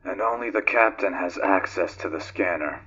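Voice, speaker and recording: A man playing a brooding, weary acting captain of a space freighter, measured and dry, in a fan-dubbed game. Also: deep voice, sharp tone, slightly rough, highs cut off, full character voice. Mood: firm, grave. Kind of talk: dramatic fan dub of a video game. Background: music.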